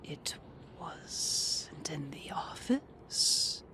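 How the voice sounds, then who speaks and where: A performer playing an obedient gang underling in an audio roleplay, speaking in a hushed, breathy, deferential way. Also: mid-range voice, lively intonation, extremely slow, whispered, exaggerated voice. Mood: hushed deference. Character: obedient, soft-spoken gang henchman reporting to the boss. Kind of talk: audio roleplay drama acting.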